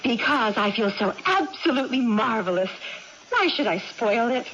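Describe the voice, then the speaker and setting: Through a dull, hissy old radio broadcast comes an excitable young woman with a high, swooping voice. She is theatrical and emphatic, insisting on things like a child in a 1980s radio drama. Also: normal speed. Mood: breezy, eager. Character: excitable young woman with amnesia and swinging moods.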